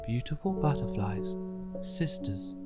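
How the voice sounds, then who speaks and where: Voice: medium pitch, big pitch swings, measured pace. A storybook narrator voicing two dreamy, eager young caterpillar sisters, with music behind. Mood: hopeful, dreamy.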